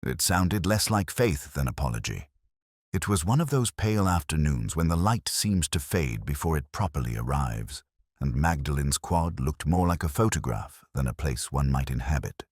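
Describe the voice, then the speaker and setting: A man playing a brooding, erudite police detective, narrating his case as a measured, melancholy, literary first-person mystery story. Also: extremely low pitch, lively intonation, no room sound, studio quality. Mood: brooding, reflective.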